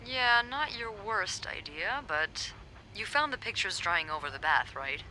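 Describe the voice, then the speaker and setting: Bright-voiced, wry hotel receptionist. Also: female voice, mid-range voice, big pitch swings, measured pace, a little hiss or hum, exaggerated voice, rough recording. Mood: conspiratorial. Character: savvy female hotel receptionist, wry, protective confidante. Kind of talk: narrative mystery game acting, natural dialogue.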